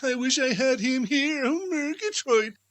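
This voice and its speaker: A man voicing a fussy, sharp-tongued mother in a theatrical storybook reading, exclaiming and scolding. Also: very high-pitched, expressive, unhurried, pitched above the performer's own voice, heavily acted. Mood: indignant.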